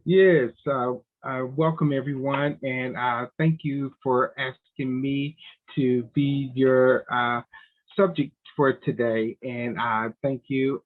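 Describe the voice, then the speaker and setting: Older man on a tinny call line. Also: mid-range voice. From United States. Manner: measured, with frequent "uh" pauses. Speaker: interview guest. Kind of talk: relaxed interview answers.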